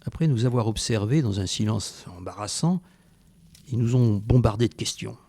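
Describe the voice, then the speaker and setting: A man's voice: fairly low pitch, normal speed, mellow, husky, no room sound. An actor playing a reflective French Resistance fighter who recounts his wartime memories as first-person narration in an audio drama, recorded over music. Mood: reflective, solemn.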